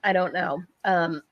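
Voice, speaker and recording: A woman co-hosting a casual talk show, chatty and halting, saying she is losing her voice. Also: on the low side, no echo, lo-fi sound. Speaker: co-host.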